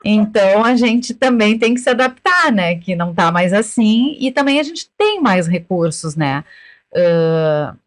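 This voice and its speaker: A woman, a lawyer guesting on an interview podcast, explaining thoughtfully with frequent pauses and fillers. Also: mid-range voice, animated. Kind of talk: casual interview chat.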